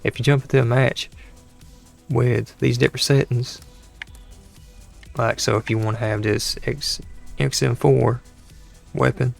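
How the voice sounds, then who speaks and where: A man hosting a gaming channel, talking casually over gameplay with a dry throat and a hoarse voice, rambling and exasperated, often restarting his sentences. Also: mid-range voice, fairly even intonation, unhurried, long pauses, faint music behind. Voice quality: gravelly, creaky voice.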